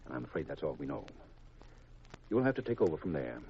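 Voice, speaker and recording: An actor playing a grave, secretive government intelligence chief in a 1950s radio drama, deliberate and confidential on a vintage recording. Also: male voice, a bass voice, sing-song, slightly rough, lo-fi sound, full character voice. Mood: grave, instructing.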